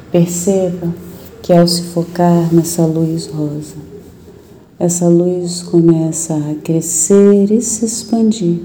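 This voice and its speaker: Brazilian female meditation guide, very mellow and soft-toned, slightly low, gentle pacing with long pauses. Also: a little hiss or hum, poor audio.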